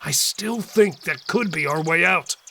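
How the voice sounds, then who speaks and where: A man's voice: fairly high pitch, measured pace, vocal fry, sharp tone, heavily acted. An actor playing Cole, a young male survivor and former delivery driver, earnest with a sarcastic edge.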